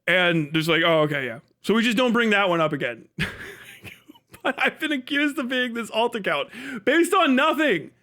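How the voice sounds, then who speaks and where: A man's voice: extremely high pitch, animated, moderate pace, sharp tone. A man doing casual, profane live stream commentary, mocking and emphatic, repeating himself for effect. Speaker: live streamer reacting to a video.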